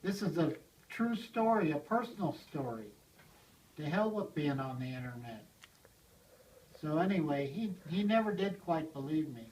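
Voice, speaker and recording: Older man, slightly high voice, rambling blacksmith storyteller, recorded from across the room on a camera. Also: animated, moderate pace, a little mellow. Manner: rambling, chuckles as he tells it. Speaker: blacksmith telling stories. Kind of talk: casual storytelling to a small group. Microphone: handheld video camera. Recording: distant from the mic, roomy.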